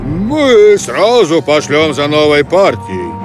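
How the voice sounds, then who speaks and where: Man playing a slow-spoken, commanding fairy-tale king. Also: fairly high pitch, big pitch swings, music in the background. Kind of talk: dubbed fairy-tale cartoon acting.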